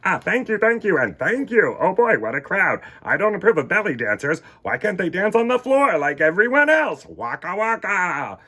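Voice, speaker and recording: Man doing a high, goofy puppet bear comic. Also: very animated, normal speed, hardly any pauses, pushed and bright, slightly rough, full character voice. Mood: corny, showy. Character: goofy eager male puppet bear comedian, corny and showy.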